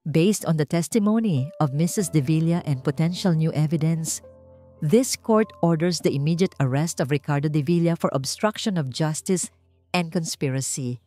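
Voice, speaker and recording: A woman's voice: sits low, moderate pace, mellow, soft background music, close to an everyday voice. A woman voicing a stern courtroom judge in an audiobook drama, formal and declamatory. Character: stern, flustered male courtroom judge issuing rulings.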